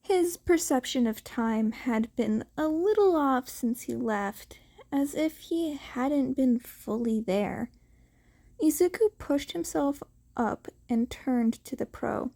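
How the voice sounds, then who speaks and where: A woman reading scripted fiction aloud as an amateur audiobook narrator, with a halting delivery and small stumbles. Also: high voice, expressive, vocal fry, mellow.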